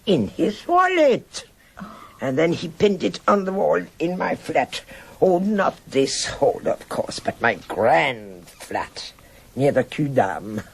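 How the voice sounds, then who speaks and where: An old woman of faded glamour in a radio drama. Her voice is gravelly and husky, swooping widely as she looks back with frank, worldly nostalgia. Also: deep voice, big pitch swings, takes it slowly, exaggerated voice. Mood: nostalgic. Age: older. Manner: theatrical, rambling reminiscence with sighs and interjections.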